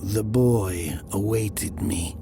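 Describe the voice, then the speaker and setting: A man narrating a first-person horror story dramatically, in a hushed, deliberate, often whispery manner. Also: sits low, gravelly, over music.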